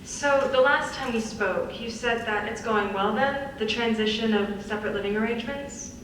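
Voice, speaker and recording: Woman playing calm therapist, bit high, measured questions, far from mic in a noisy echoey hall. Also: lightly acted. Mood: calm, probing. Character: calm, probing female Californian therapist. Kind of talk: live dramatic script reading. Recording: distant echoey hall, clear background noise.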